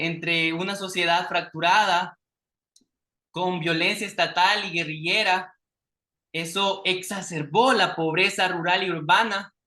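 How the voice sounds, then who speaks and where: Salvadoran man with a bright, forceful voice. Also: sits high. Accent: Salvadoran Spanish. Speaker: invited conference speaker. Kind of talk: formal prepared presentation. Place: speaking remotely on a video call.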